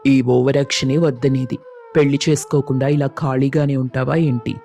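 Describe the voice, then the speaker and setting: A man's voice: mid-range voice, level tone, moderate pace, gravelly, mellow, soft background music, lightly acted. A man playing a teasing husband and brother-in-law who banters dryly in a family story. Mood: bantering.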